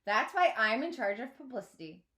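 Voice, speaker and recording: A woman's voice: on the high side, big pitch swings, moderate pace, a bit bright. Storybook schoolgirl captain played by a woman: haughty, smug, impatient, emphatic.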